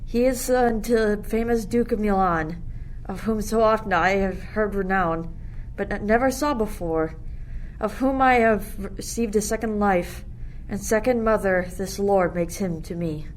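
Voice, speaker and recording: A woman plays a devoted young royal lover in a Shakespeare radio play. The voice is mid-pitched, earnest and formal. Faint ambient noise runs behind it. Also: a little slow, close to an everyday voice. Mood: earnest, reverent. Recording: noisy recording with ambient sound texture.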